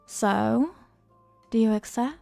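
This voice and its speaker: A woman's voice: on the high side, slow, creaky, warm tone, no echo, faint music behind. A woman playing a flirty, playful stranger at a New Year's party in an intimate audio roleplay, with a coy, teasing, murmuring delivery. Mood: flirty, hopeful.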